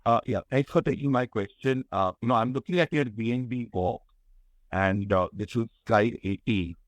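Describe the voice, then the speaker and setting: An Indian-accented man, a financial analyst, asking a question on an earnings call in tentative, halting phrases. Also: medium pitch, no room sound.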